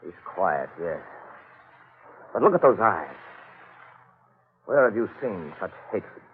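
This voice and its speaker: Tinny vintage radio sardonic, commanding husband. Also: male voice, low-pitched, very animated, slow pace, full character voice. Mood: brooding, admiring. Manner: theatrical, emphatic delivery. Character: domineering, sardonic husband, turning frantic and protective under danger. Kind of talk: 1940s radio mystery acting. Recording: tinny band-limited old radio recording.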